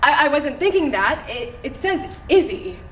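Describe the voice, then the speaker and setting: A woman's voice: high-pitched, very animated, normal speed. An actress playing a skeptical, naive thirty-year-old woman tourist in a stage play, questioning and incredulous. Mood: disbelieving. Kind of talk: live stage play acting. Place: theatre stage, indoors. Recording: echoey theatre room, faint noise.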